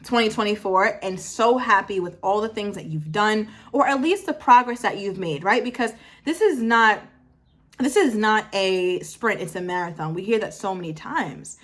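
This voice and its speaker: A woman's voice: mid-range voice, moderate pace, fairly bright tone. Emphatic young woman giving a pep talk. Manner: emphatic, repeats phrases for effect, self-correcting. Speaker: motivational vlogger. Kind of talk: casual motivational talk to camera.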